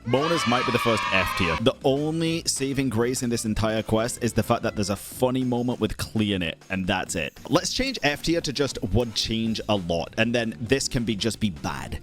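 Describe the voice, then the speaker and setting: A man's voice: medium pitch, animated, moderate pace, few pauses, a bit bright, soft background music. A young man doing casual ranking commentary in a slangy, blunt, emphatic way. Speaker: gaming content creator and streamer.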